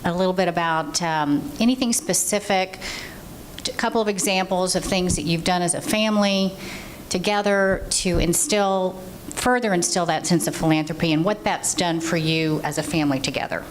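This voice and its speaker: A woman's voice: on the low side, moderate pace, a little hiss or hum, poor audio. A woman in the audience asking a question, hesitant and rambling. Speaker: audience member.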